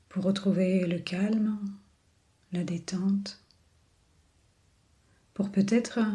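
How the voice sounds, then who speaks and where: A woman leading a guided body scan meditation in a soothing, unhurried manner with long pauses. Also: mid-range voice, dark tone. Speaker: yoga and relaxation guide.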